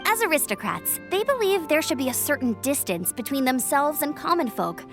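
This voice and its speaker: A woman voicing a cheerful young female knight scout who talks in a friendly, lively, chatty way in a game cutscene. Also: high-pitched, a little fast. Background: game music.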